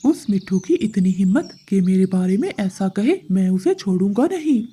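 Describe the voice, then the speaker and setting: Woman as an offended, mellow-toned male cartoon pigeon. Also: mid-range voice, big pitch swings, normal speed, slightly rough. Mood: offended, angry. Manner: wounded, complaining. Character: offended, easily provoked male pigeon in a bird fable.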